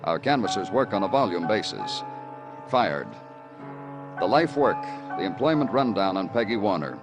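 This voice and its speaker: An American actor playing a terse, insistent New York police detective in a 1950s radio crime drama. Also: male voice, on the low side, sing-song, moderate pace, music in the background. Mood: terse, businesslike. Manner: clipped, insistent questioning. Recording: hissy old radio recording.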